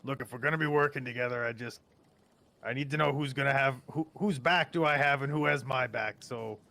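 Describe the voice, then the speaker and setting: A man's voice: medium pitch, a little fast, vocal fry, sharp tone, slight background noise. A man voicing an agitated, blunt adventurer who craves coffee, in tabletop roleplay. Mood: blunt, wary.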